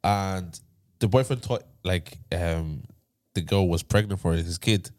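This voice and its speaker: A man's voice: low-pitched, unhurried, darkish tone, no echo, studio quality. A man casually telling a story on a podcast, rambling with fillers and restarts. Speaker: podcast host. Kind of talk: casual chat.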